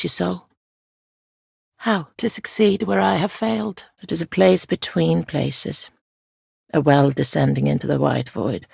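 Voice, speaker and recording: A woman voicing an ancient, weary spirit guardian in a video game, speaking softly in solemn, measured, formal archaic phrases. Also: low voice, dry recording, studio quality, full character voice. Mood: solemn, aloof. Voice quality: soft, breathy, creaky. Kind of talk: video game character dialogue.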